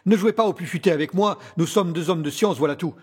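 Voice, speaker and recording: Man playing a husky, high-pitched, suave villainous scientist. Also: fast talker. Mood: suave, condescending. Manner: formal, theatrical, condescending. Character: cunning, courtly villainous male scientist, suavely menacing. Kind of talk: scripted audio drama acting.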